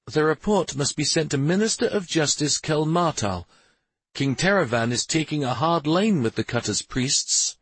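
A man voicing a gentle but firm princess in a fantasy audiobook, with a mild, measured manner. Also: a little high, expressive, husky, no room sound, voice pushed up. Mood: grave, firm. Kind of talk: fantasy audiobook character dialogue.

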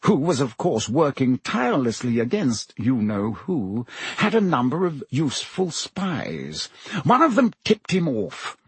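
A man voicing a pompous, uneasy older government minister in an audiobook, with gruff, patronising bluster. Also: a little high, no echo, heavily acted.

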